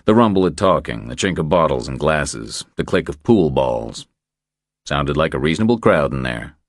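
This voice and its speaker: A man reading a crime thriller as an audiobook narrator, in measured, deliberate scripted narration. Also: rumbling low, fairly bright tone, no room sound, studio quality.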